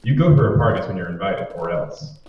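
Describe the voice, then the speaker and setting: Low, echoey man as sardonic radio-play boyfriend. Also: animated, brisk. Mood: sardonic, grumbling. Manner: sardonic, grumbling. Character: wisecracking, reluctant boyfriend griping about his boss's parties. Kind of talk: old-time radio drama acting. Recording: muffled, very echoey, distant, faint noise.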